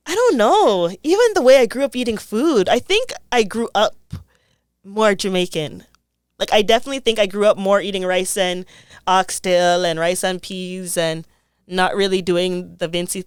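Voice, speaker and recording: A woman's voice: mid-range voice, clear, resonant tone, no room sound, clean studio sound. A young woman, speaking as an interview guest. She talks in a thoughtful, casual way and often says "like.". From Toronto, Canada. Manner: thoughtful, frequent "like" fillers.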